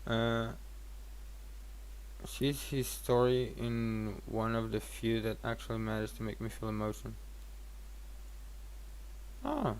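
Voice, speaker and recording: A man's voice: on the low side, animated, slow, pauses often, a little mellow, slight background noise, low-quality recording. A tired man giving casual gameplay commentary as a streamer, rambling and thinking aloud with some casual swearing. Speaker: game streamer.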